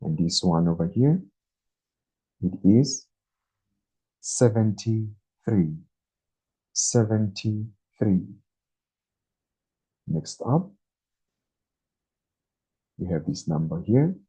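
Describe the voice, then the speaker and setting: A man in his twenties teaching a beginner English lesson, speaking very slowly and deliberately and repeating each number. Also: fairly low pitch, lively intonation, warm tone, breathy edge. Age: 20s. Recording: dull lo-fi recording.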